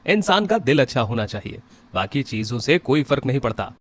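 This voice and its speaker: A man voicing a kind young husband in a story, reasoning in a calm, reassuring way. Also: medium pitch, brisk, dry recording, studio quality, lightly acted.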